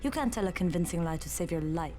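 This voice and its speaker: A woman playing a tough, protective older sister in a video game, scolding with sharp-tongued sarcasm. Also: fairly low pitch, animated, fast, faint music behind, natural voice.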